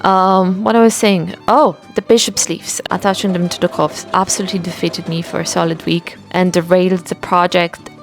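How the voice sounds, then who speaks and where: A woman's voice: on the low side, little pitch movement, normal speed, hardly any pauses, soft background music. A woman vlogging about her sewing in a casual, self-mocking way, laughing often. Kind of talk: casual chat to camera.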